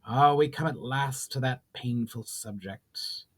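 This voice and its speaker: An ancient sorceress of a fantasy storybook, played by a man, speaks with solemn, pained weariness. The delivery is slow and grave, as if weighed down by long grief. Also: medium pitch, fairly bright tone. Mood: sorrowful, solemn. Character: ancient grieving burning sorceress, solemn and weary.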